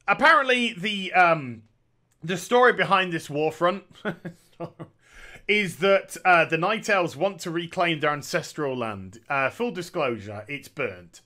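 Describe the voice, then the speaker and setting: Male commentator in his thirties, sarcastic and opinionated, bright and slightly high-pitched voice, speaking on a headset at home. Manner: sarcastic, opinionated, jokey asides. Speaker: gaming commentator. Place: small room at home.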